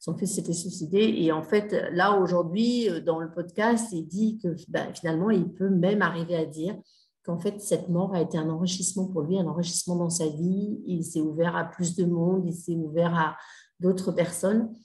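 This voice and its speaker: A woman's voice: lowish voice, steady tone, normal speed, darkish tone, echoey room. A middle-aged woman mentor giving a casual spoken talk, hesitant, with many fillers and restarts. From Australia. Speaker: grief mentor and healer.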